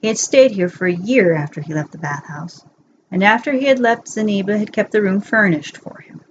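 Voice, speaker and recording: A woman's voice: mid-range voice, brisk. A woman narrating fan fiction as a bedtime story, reading from a script in an amateur recording. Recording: dull lo-fi home recording, faint noise.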